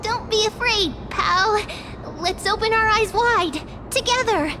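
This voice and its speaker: An actress voicing a tiny, earnest childlike spirit companion in a video game. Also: female voice, very high-pitched, unhurried, background noise. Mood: gently encouraging.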